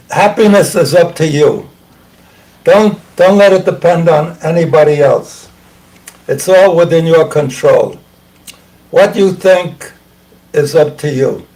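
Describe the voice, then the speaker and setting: An older man hosting a talk show, speaking slowly and haltingly, clearing his throat often and losing his voice. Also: a little high, animated, darkish tone, distant mic, rough recording. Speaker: host. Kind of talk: casual talk show monologue.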